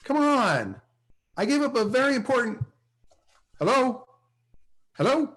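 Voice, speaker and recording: A man's voice: extremely high pitch, very animated, measured pace, a bit bright, exaggerated voice. An actor playing a self-important, sarcastic male salesman in a sitcom table read, recorded on a video call. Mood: wheedling. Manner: sarcastic, wheedling, hesitant.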